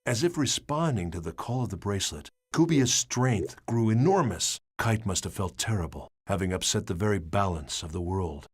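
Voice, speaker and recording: A man acting as a brooding researcher who narrates past events in a measured, solemn way for a game drama. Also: lowish voice, lively intonation, slightly rough. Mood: grave, reflective. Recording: music behind the voice.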